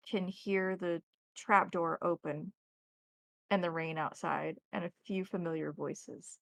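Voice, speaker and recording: Low, creaky-voiced woman game master. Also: no echo. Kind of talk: narrating a tabletop roleplaying game.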